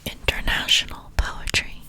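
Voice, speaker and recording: A woman speaking in an unvoiced, breathy whisper in an ASMR style, gently unhurried and deliberate. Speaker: ASMR creator and poetry reader.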